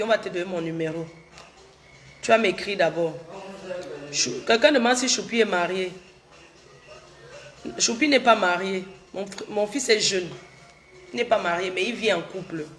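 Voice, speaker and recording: Chatty woman with a cold. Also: mid-range voice. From Cameroon. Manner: chatty, repeats herself, answers viewers' comments directly. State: has a cold. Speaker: social media livestreamer. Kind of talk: casual live chat with viewers.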